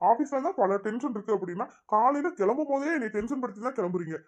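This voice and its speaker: A woman's voice: on the high side, animated, quick pace. Woman doing a weary husband. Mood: lecturing. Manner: exasperated, lecturing. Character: weary young husband caught in the middle. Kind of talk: cartoon voice acting.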